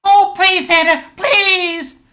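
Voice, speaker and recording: A woman's voice: very high voice, fairly even intonation, takes it slowly, pushed and bright, full character voice. A woman acting an eager little boy undercover cop in an amateur radio play. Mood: excited, pleading.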